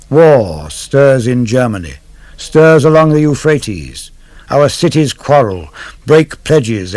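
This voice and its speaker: Male poetry reader, unhurried, grave, declamatory, mid-pitched, close dry sound. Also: no echo. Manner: solemn, measured, declamatory. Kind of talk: dramatic literary reading for radio.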